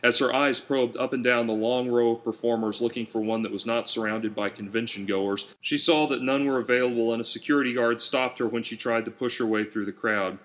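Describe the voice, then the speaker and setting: Man narrating a novel, measured delivery. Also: mid-range voice, fairly even intonation, fairly bright tone. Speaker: author reading own novel. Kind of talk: scripted audiobook narration.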